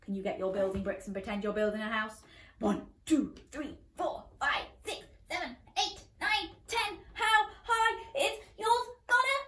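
A woman in her thirties reading a picture book aloud to young children at bedtime, animated and expressive, with playful asides to her listeners. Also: sits high, measured pace, reverberant. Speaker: children's storyteller. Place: small bedroom, indoors, seated on a bed.

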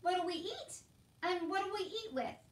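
A woman's voice: extremely high pitch, expressive, normal speed, pitched above the performer's own voice. A poor, indignant storybook uncle, played by a woman reading aloud. He is grasping and aggrieved, given to exclaiming. The recording is echoey and a little distant. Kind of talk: storybook read-aloud character voice. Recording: roomy echo, distant from mic.

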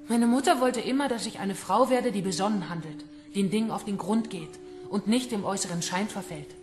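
A woman's voice: medium pitch, fairly quick, lightly acted. An actress playing a composed, mocking female kidnapper bent on revenge in a radio crime drama. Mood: coolly vengeful. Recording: music bed under the voice. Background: music.

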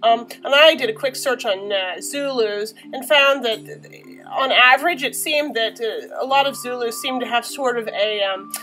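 A young woman, slightly hoarse from a cold, chatting casually through a painting tutorial in a small bedroom, recorded on the camera mic with many fillers. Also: fairly high pitch, moderate pace, pushed and bright, soft background music, low-quality recording.

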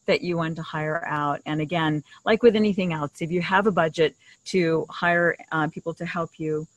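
A woman's voice: low-pitched, steady tone, normal speed, no echo, rough recording. A middle-aged woman co-hosting a podcast, explaining things in a casual, conversational style into a shared desktop microphone. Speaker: podcast co-host. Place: outdoors in a leafy garden. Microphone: desktop condenser mic shared between two.

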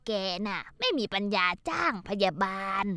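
A woman's voice: medium pitch, big pitch swings, moderate pace, sharp tone, no room sound. An actress playing an older mother-in-law who grumbles sarcastically in a dubbed drama. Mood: resentful.

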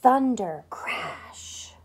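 A young adult woman, a teacher, reading a picture book aloud to small children with expressive delivery and simple chatty questions. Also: a little high, very slow, in a whisper. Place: small furnished room at home, indoors.

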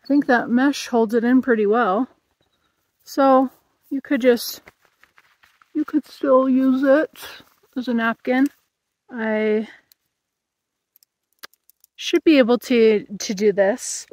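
A woman's voice: on the high side, slow, long pauses, a little mellow, no room sound. A woman in her thirties reviewing camping gear in a casual vlog, musing aloud while she eats, recorded outdoors in a meadow.

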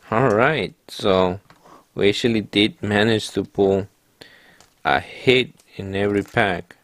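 Man, low gravelly voice, slow easygoing pace, dry close mic, casual card-opening chat. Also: dry recording. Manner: relaxed, talks to viewers while reading out cards. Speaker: card-opening channel host. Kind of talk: casual pack-opening narration.

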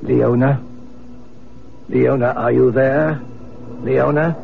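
A man playing an earnest, calm psychic investigator in a radio mystery, measured and probing, with a creaky voice. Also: mid-range voice. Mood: probing, intent. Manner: measured, soothing yet probing. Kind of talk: radio mystery drama acting. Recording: tinny old radio recording.

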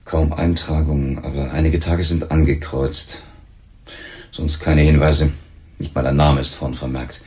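An actor playing a calm, probing police lieutenant in a crime radio drama, questioning in a measured, matter-of-fact way. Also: male voice, very deep voice. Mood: businesslike.

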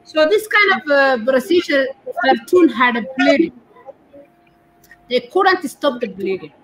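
A woman in her thirties with a Somali accent, explaining haltingly as an interview guest on a video call, searching for words. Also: sits high, animated, fairly bright tone, rough recording. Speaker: guest, doctor and women's rights activist. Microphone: laptop or phone on a video call.

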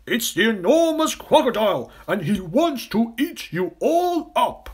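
A man voicing a big, indignant, protective elephant in a storybook, with a booming bellow. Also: very animated, unhurried, slightly rough, exaggerated voice. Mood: urgent warning.

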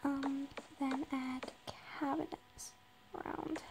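A high-voiced woman with a raspy, gravelly edge narrates her game slowly and hesitantly, filling gaps with little pauses. She talks to herself as she plays. The recording carries a clear layer of background noise. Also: little pitch movement. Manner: slow, hesitant, lots of ums, talks to herself while building. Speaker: Minecraft roleplay player. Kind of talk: casual gameplay narration. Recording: noisy background.

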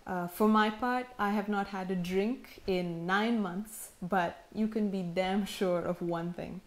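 A woman in her thirties, heavily pregnant, delivering a sincere, measured acceptance speech with gentle humor, recorded at home in her living room. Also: medium pitch, fairly even intonation. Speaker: prize winner giving acceptance speech. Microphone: camera in front, seated framing.

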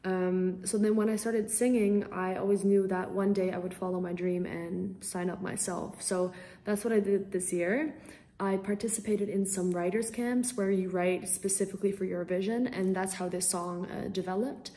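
A young woman from the Netherlands talks about her music over a laptop call from a small room at home. Her voice is mellow and soft, and her answers come out bubbly and eager, dotted with ums. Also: mid-range voice, steady tone, moderate pace. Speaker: singer-songwriter guest. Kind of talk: casual interview answers.

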